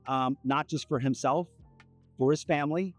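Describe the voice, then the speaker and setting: A man's voice: medium pitch, moderate pace, faint music behind. A man answering interview questions spontaneously, repeating phrases for emphasis. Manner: emphatic repetition.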